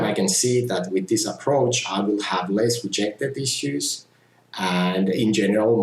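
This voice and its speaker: A middle-aged man from Finland, giving a measured, earnest testimonial about his community testing and often saying "you know". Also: sits low, a little hiss or hum. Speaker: community tester. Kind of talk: casual testimonial talk. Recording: roomy echo with faint ambience.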